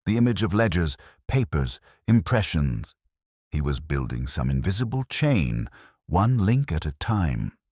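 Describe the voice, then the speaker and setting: A man reading scripted first-person mystery narration in a measured, literary storytelling manner. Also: low voice, big pitch swings, a little mellow, husky, no room sound, studio quality. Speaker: audiobook narrator.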